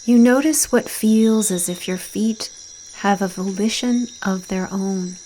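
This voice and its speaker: A woman narrating a guided visualization in a soft, soothing, deliberate voice with breathy phrase endings and long pauses. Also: mid-range voice, slight background noise, rough recording.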